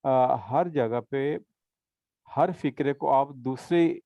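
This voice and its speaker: Man, patient tutor, mellow, dry close sound. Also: mid-range voice, slow, vocal fry, dry recording. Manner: patient, explains with examples, frequent "theek hai?" checks. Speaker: English teacher. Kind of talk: conversational tutoring session.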